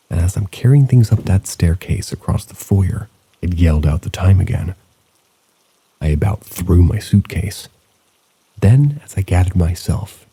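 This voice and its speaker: A man narrates eerie first-person stories in a low, gravelly voice. His tone is very dark and husky, and he keeps a measured, low-key storytelling pace. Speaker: host and narrator. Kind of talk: reading listener stories aloud.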